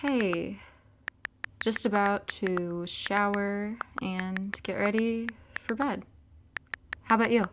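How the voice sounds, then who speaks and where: A woman's voice: medium pitch, fairly even intonation, slow, background noise, lightly acted. A young woman playing an easygoing college student in an audio drama, speaking casually and naturally. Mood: casual, affectionate. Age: young adult.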